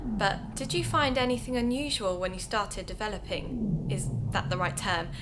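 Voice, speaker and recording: A woman's voice: mid-range voice, moderate pace, noisy background, close to an everyday voice. A woman playing a keen amateur investigator who hosts a podcast, speaking with a British accent in a conversational, confiding way. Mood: polite, inquiring. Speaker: podcast host and narrator. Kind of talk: audio drama acted as first-person podcast narration.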